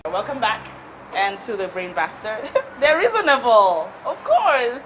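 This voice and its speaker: A woman with a Kenyan accent hosting a TV talk show, playful and lively, asking questions and teasing her guest. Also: a little high, a little slow, loud music behind the voice, low-quality recording. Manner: playful, lively, mixing in Swahili phrases. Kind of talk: casual interview chat.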